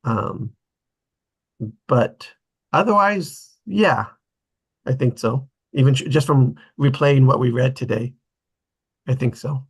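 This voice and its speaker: A man with a somewhat husky voice talks casually in a group discussion. His pitch is middling, and he explains things haltingly, often interrupting himself to pick up the thread.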